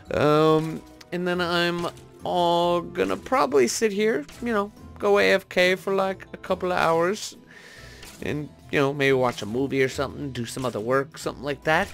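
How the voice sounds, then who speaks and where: A man's voice: high-pitched, vocal fry. A man doing casual gaming commentary, thinking aloud in a rambling way as he talks to his viewers. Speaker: gaming video host. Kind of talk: casual Minecraft let's-play commentary.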